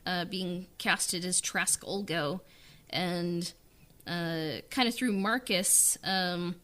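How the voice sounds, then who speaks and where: A woman's voice: lowish voice, slow, a bit bright. A woman chatting casually on a podcast as a writer-director, informal and hesitant in the way she talks. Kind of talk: casual interview chat.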